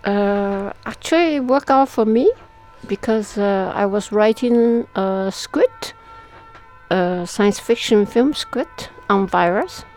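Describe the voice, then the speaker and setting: A woman from Taiwan speaking as an interview guest in casual conversation, with halting, pause-filled non-native English. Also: mid-range voice, expressive, darkish tone, soft background music. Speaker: interview guest, media artist.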